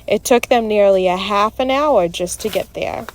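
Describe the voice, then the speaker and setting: A woman narrating a children's chapter book in an expressive, scripted read-aloud style. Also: medium pitch, normal speed, slight background noise.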